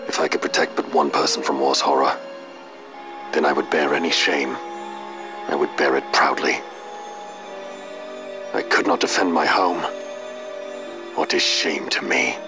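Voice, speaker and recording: A man playing a stoic, honor-bound knight in a fantasy video game, speaking formally and earnestly in measured phrases. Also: a bass voice, steady tone. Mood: resolute, earnest. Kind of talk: formal, archaic video game drama acting. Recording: muffled game audio under clear music.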